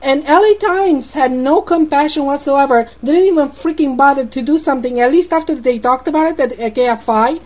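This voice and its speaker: A woman's voice: sits high, brisk, hardly any pauses, a little mellow. A woman with a Romanian accent, complaining indignantly on a phone call, rambling and repeating words as she goes.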